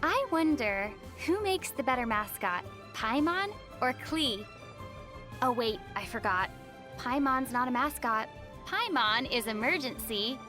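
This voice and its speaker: A woman's voice: on the high side, lively intonation, unhurried. A woman playing a young, sensible traveler girl in a video game, with a wry, teasing manner. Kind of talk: video game character dialogue. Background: music.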